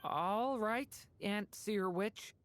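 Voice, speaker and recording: A woman's voice: mid-range voice, drawn-out words, fairly bright tone. A woman voicing a polite, innocent young boy in a fantasy game, speaking earnestly. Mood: curious, earnest. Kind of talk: animated game voice acting.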